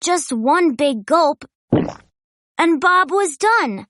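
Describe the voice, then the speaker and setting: A woman reading a children's storybook aloud in an expressive, playful way. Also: sits high, extremely slow, no echo, clean studio sound. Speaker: children's book reader. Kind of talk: storybook read-aloud narration.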